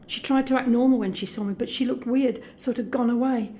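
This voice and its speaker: A woman's voice: fairly high pitch, fairly even intonation, brisk, mellow, a little hiss or hum, lightly acted. A woman playing an anxious but determined young escort in an intimate, conversational audio drama monologue. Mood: shaken, sombre. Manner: confiding, conversational.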